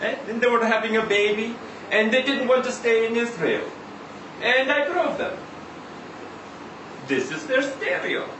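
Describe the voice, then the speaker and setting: A man reading a short story aloud as a chatty, insistent Israeli taxi driver, halting and conversational, full of rhetorical questions and tags. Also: very high voice, expressive. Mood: wistful, warm. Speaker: reader at a live literary event. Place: indoor live reading event. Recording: roomy live venue, distant mic, faint noise.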